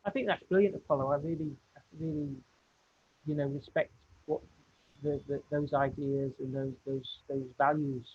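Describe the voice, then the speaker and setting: A man's voice: on the high side, steady tone, normal speed, breathy edge. A man hosting a live interview, affirming his guest in hesitant, repeated phrases. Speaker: show host. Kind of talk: live video call interview. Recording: dull line with hiss.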